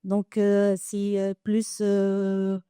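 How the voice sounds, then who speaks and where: A woman working as an educator talks on a panel, pausing often and restarting her sentences. Her voice is mellow and smooth, heard dry and close, and she speaks slowly. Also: mid-range voice, completely flat delivery, no echo. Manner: hesitant, many fillers and restarts. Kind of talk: panel discussion. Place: conference room.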